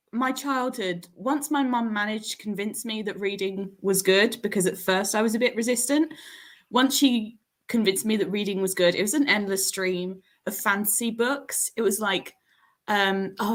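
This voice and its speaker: A woman in her late twenties chatting casually as a panelist, telling stories in an animated, self-mocking way. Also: fairly high pitch. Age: late 20s. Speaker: panelist in a writers' livestream. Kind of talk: casual chat.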